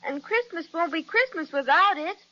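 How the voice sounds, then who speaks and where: Very high, wide-eyed little girl. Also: lively intonation, normal speed, sharp tone, exaggerated voice. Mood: eager, polite. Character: sweet, eager, polite little girl, a twin. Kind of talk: 1930s children's radio serial acting. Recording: dull vintage radio recording with hiss.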